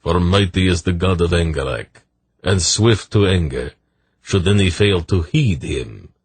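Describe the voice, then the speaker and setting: A man voicing a cruel, sardonic male disciple who intones formal fantasy speech with quiet menace. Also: sits low, lively intonation, unhurried, breathy edge, no echo, full character voice. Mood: sardonic threat. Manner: sardonic, intoning, veiled threat.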